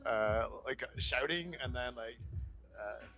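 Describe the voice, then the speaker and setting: A man chatting casually as a podcast guest in a halting, self-mocking, jokey way. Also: medium pitch, bright, forceful tone, faint music behind. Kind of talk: casual chat.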